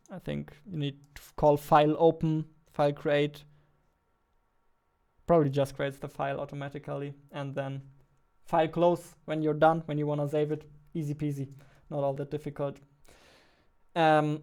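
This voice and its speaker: A man's voice: a little high, creaky, darkish tone, clean tone. A man in his twenties chatting casually on a live stream into a close studio mic, informal and self-deprecating. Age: 20s. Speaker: game developer live-streamer. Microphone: close studio mic on boom arm.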